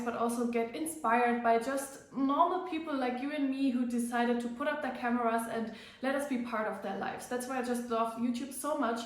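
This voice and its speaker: A woman with a noticeable German accent talks casually to her viewers, her voice sitting a little high. She chats along with plenty of fillers and a slightly self-conscious manner. The room around her rings with heavy echo. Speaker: fitness vlogger. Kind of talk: casual vlog to camera. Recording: very echoey room.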